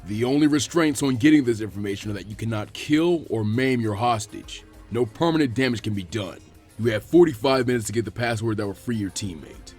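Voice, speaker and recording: A gruff, imposing ninja exam master acted out by a man reading fan fiction. He barks orders and sneers with total authority. Faint music plays behind him. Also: fairly low pitch, fairly quick, darkish tone, voice pushed down, natural voice. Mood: menacing. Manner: commanding, sneering.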